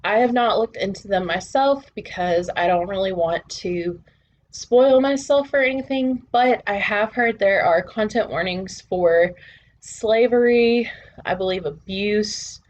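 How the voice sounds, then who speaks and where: A woman hosting a book channel, talking to viewers in a measured, deliberate, presenter-style way with short pauses between phrases. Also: mid-range voice, darkish tone. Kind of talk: presenter-style talk to camera.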